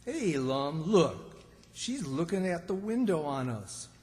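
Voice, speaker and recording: A man acting a naive, excitable country bumpkin with a folksy drawl in an old-time radio comedy. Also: fairly high pitch, takes it slowly. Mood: curious. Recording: echoey hall with long reverb.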